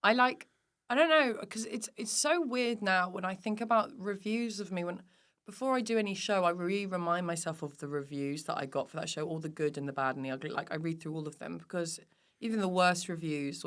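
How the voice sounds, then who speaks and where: A woman comedian chatting casually as a podcast guest, animated, self-deprecating and happy to swear. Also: fairly low pitch, a little fast. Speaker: guest comedian and physical performer.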